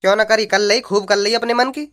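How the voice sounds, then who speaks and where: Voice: mid-range voice, steady tone, fairly quick, fairly bright tone, lightly acted. A performer voicing a sarcastic, stubborn husband who nags in a comic dialect cartoon. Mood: accusing. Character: sarcastic, nagging husband and father, stubborn and petty.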